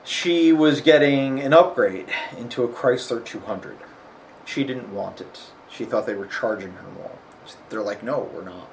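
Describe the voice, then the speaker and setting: A man's voice: medium pitch, unhurried, slight background noise, poor audio. A man with a sniffly nose, chatting casually and rambling about a road trip, full of fillers. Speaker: vlogger. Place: hotel.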